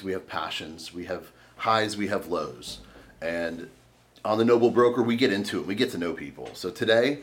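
A man with a very low voice hosts a podcast interview. He sounds upbeat and playful and often restarts his questions as he chats. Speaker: podcast host. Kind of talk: casual interview chat.

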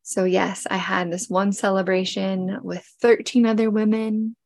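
A woman of forty speaks slowly and tenderly, with a gravelly, creaky edge. She is congested from a cold, and the sound is dry and close. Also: mid-range voice, flat delivery, no echo. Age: 40s. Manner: gentle and heartfelt, with frequent pauses and fillers. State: congested, getting over a cold. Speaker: podcast host. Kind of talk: personal reflective podcast talk.

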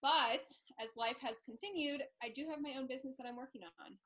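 Woman, 30s, higher voice, giggly, self-mocking, podcast chat. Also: fairly quick, bright, forceful tone. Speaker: podcast co-host. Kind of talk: casual chat among friends.

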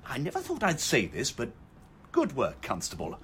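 A middle-aged English police inspector from a radio comedy. He is amiable, pompous and none too bright, blustering hesitantly with a voice that leaps up and down, over faint background noise. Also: mid-range voice, wildly swooping pitch, normal speed. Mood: earnest, pleased. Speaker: actor in radio comedy drama. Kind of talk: British radio comedy acting.

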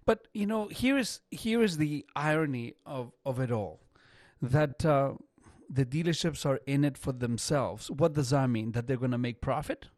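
A man in his fifties hosting a radio talk show, English not his first language, talking in an animated way and often repeating words as he starts a sentence. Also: mid-range voice, moderate pace, no echo. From not Canada.

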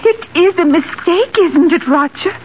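Muffled old radio drama, elderly aunt, very high-pitched, timid, anxious, deferential. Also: female voice, lively intonation, measured pace, slight background noise. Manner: tentative, worried. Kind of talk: 1940s radio drama acting.

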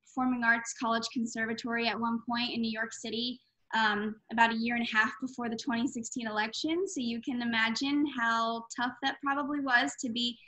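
A woman's voice: a little high, steady tone, brisk, pushed and bright, low-quality recording. A woman in her twenties, a singer-songwriter guest, chatting eagerly with fillers and restarts. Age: 20s. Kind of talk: casual interview.

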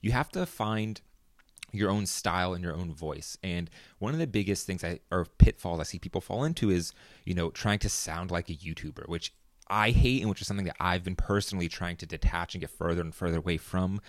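A man's voice: low voice, fairly quick, no room sound, clean studio sound. A young man hosting his own podcast, talking alone in a casual, rambling way with lots of fillers. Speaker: podcast host. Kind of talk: solo podcast monologue.

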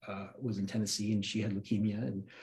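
Mellow American man telling a story. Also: lowish voice, fast talker, rough recording. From United States, grew up in Santa Cruz, California. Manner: informal, animated retelling with acted-out quotes. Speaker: interview guest, works in film. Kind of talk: casual personal storytelling.

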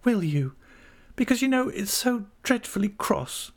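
Guy doing a sweet naive little wife for a storybook, high girly voice, timid n pleading, very swoopy. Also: very animated, a little slow, creaky, exaggerated voice. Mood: coaxing. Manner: girlish, pleading, cooing delivery. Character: timid, coaxing, childlike young wife, affectionate and naive. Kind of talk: audiobook character dialogue from a classic novel.

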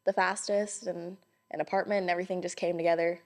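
Young woman from Hawaii, slightly low pitch, friendly storyteller, interview guest. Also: little pitch movement, quick pace. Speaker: guest, small business owner. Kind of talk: casual interview chat.

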